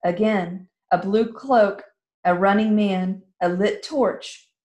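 A woman's voice: medium pitch, takes it slowly, darkish tone, muffled recording, natural voice. A woman voicing a bold young princess girl, exclaiming breathlessly. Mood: solemn. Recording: roomy echo.

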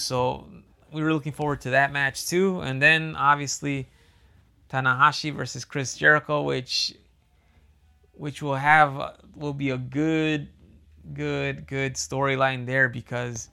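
A man talking casually and at length as a wrestling fan, with a loud booming voice, full of restarts and fillers. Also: gravelly. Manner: rambling, repeats himself, many fillers and restarts. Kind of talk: casual monologue.

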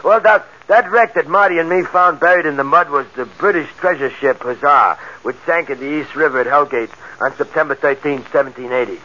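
Radio crime drama: jittery male bank robber, high and tense, streetwise slang, forties style, lo-fi sound. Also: a little fast, hardly any pauses, pushed and bright. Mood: calming, eager. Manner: rough slangy underworld talk, breaking into manic laughter. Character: excitable, hysterical small-time male convict and bank robber. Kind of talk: 1940s radio drama acting. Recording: dull lo-fi old radio recording.

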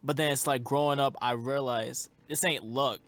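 A man's voice: mid-range voice, fairly even intonation, normal speed. A young man who makes music, a guest in a casual interview, talking earnestly and rambling with lots of fillers.

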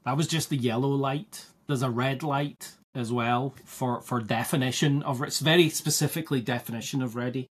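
A man's voice: mid-range voice, fairly even intonation, moderate pace, hardly any pauses, husky. A man from Scotland with a Scottish accent, co-hosting a podcast in casual discussion, thoughtful and often restarting as he searches for the right word. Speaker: podcast co-host.

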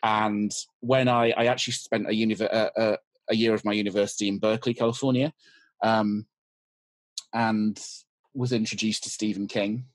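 A man's voice: on the low side, level tone, normal speed, dry recording. A man with an English accent chatting casually as a podcast guest, hesitant and often restarting his thoughts. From England. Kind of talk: casual interview chat.

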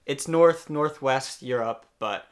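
A man playing a hesitant Dutch cold-therapy guide, a guest on a mock health podcast who keeps pausing with ums and uhs. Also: mid-range voice, close to an everyday voice. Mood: earnest.

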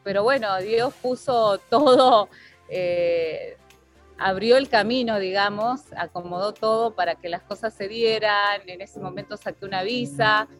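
A woman's voice: mid-range voice, unhurried. Hesitant Argentine woman over faint music. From Bahía Blanca, Argentina. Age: 40s. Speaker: interview guest. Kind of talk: personal storytelling in a casual interview.

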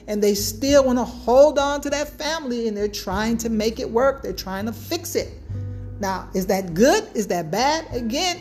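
Woman podcaster, kinda high voice, keeps going "now... right?", emphatic, soft music under it. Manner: repetitive, emphatic, starts points with "now" and tags "right?". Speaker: podcast host. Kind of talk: solo podcast talk.

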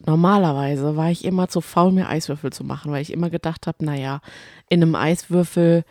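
A woman's voice: low-pitched, fairly even intonation, fast talker, mellow, no room sound, studio quality. A woman co-hosting a podcast in casual chat, telling things in a rambling, colloquial way. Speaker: podcast co-host.

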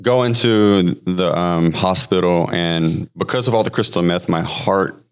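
Deep-voiced man in his thirties, podcast guest sharing his testimony; loose and halting, lots of fillers. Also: clear, resonant tone. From Hawaii. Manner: halting, frequent fillers and restarts. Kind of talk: personal testimony, conversational storytelling.

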